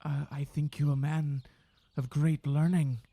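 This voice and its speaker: An actor playing an uneasy, dutiful young sheriff in a period audio drama, hesitant and deferential. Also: male voice, a little high, level tone, dark tone. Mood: guarded. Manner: hesitant, slow, earnest.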